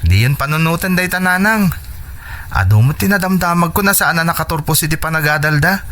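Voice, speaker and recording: An earnest young Ilocano son in a radio drama. His voice is a little high and very bright, respectful and set on his purpose, with faint ambient noise behind it. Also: lively intonation, a little fast. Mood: happy, determined. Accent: Filipino (Ilocano). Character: earnest, determined young son quitting college to work.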